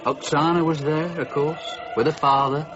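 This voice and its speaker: Actors playing men in a tavern who take turns telling a tale in an easy, conversational way in a radio play. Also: male voice, fairly high pitch, steady tone, moderate pace, music in the background, natural voice. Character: chatty male tavern storytellers. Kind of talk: radio drama narration. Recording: muffled lo-fi radio recording.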